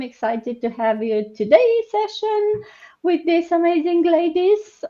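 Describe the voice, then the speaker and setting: Woman host, from Romania, chatty and halting, dull video-call sound. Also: fairly high pitch, darkish tone. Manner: chatty, hesitant, many fillers. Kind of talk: live online panel hosting.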